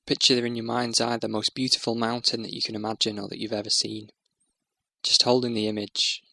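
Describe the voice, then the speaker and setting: Gravelly, even-toned male meditation guide. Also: on the low side, no room sound. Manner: soothing, measured, instructional.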